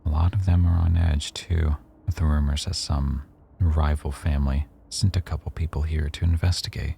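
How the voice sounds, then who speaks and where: A man playing a rough-edged mafia boss who owns a cafe, teasing, probing and quietly threatening, in a boyfriend ASMR roleplay. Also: rumbling low, steady tone, moderate pace, a little hiss or hum. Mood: cautioning. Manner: teasing, probing, smugly self-assured.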